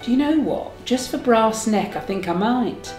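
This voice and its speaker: A man performs a worldly, camp English entertainer in his fifties from a novel. He is arch, kindly and theatrical, with crisp diction and teasing endearments. The room echoes and faint music plays behind. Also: high voice, expressive, unhurried, a little mellow, pitched above the performer's own voice. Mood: knowing, indulgent. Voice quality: crisp, well presented. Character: camp, kindly, worldly fifty-ish male cabaret entertainer.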